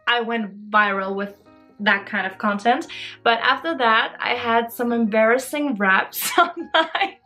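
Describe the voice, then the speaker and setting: A woman's voice: on the high side, a little slow, sharp tone, soft background music. A woman, chatting casually and expressively in a bubbly way as she answers questions for a vlog. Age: early 20s. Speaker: social media creator answering follower questions.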